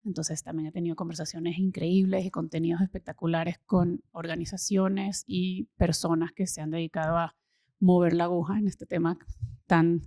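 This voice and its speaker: A Venezuelan woman in her thirties, talking enthusiastically as an interview guest and often pausing with fillers and repeated words. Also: lowish voice, little pitch movement, dark tone, dry recording, clean studio sound. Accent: Venezuelan Spanish. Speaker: guest, social impact consultant. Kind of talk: casual interview conversation.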